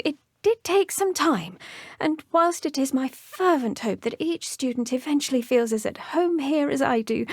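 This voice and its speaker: A kindly young English witch, a herbology teacher in a fantasy game. Her voice is high and lilting, with lively swoops in pitch and a soft breathiness. She speaks gently and politely, in a nurturing, cheerful way, recorded close and dry. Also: dry recording. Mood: gentle, reminiscing. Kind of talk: video game character dialogue.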